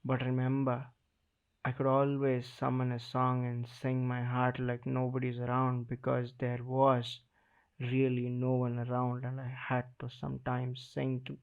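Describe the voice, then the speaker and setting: A man performing a slam poem in the first person, dramatic and deliberate, with weighty pauses between short phrases. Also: medium pitch, vocal fry, muffled recording.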